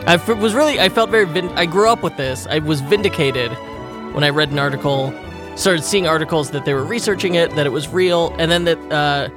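A man's voice: fairly high pitch, a little fast, music in the background. Rambling, laughing male podcast host. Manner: rambling, laughs often, self-correcting. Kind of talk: casual chat.

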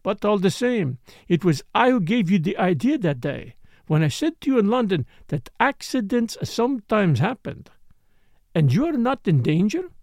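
A man's voice: high-pitched, very animated, normal speed, darkish tone, no echo, studio quality. A man reading aloud voices an insolent, seductive French woman with a put-on French accent, teasing and coaxing. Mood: coaxing, mocking.

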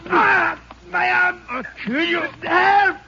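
A man's voice: very high voice, slow, creaky, a loud crowd, full character voice. A man acting a man in a 1950s radio play, crying out for help. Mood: shouting. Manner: cries out, shouts for help. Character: frightened then furious Mexican man on street. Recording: muffled noisy old radio broadcast.

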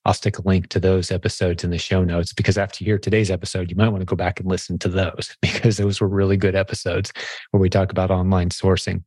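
A man's voice: low-pitched, flat delivery, fast, darkish tone, husky, no room sound, clean studio sound. A man hosting a conversational podcast interview in an encouraging, affirming way. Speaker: podcast host.

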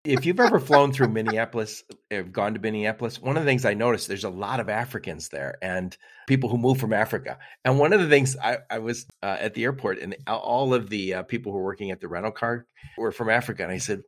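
A man's voice: fairly low pitch, quick pace. A man hosting a podcast interview, asking informal questions with a joking tone. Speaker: podcast host. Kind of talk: casual interview chat.

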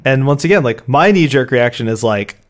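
A man's voice: medium pitch, expressive, fairly quick. A man co-hosting a podcast, talking bluntly in casual chat and swearing freely as he airs strong opinions. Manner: blunt, profane, heated opinions. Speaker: podcast co-host.

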